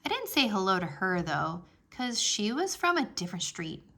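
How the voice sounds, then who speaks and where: Woman voicing an outspoken little girl, bright voice. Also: medium pitch, normal speed, vocal fry, exaggerated voice. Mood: emphatic. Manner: expressive, childlike, emphatic. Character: outspoken, worried little kindergarten girl narrating her day. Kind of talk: animated children's read-aloud.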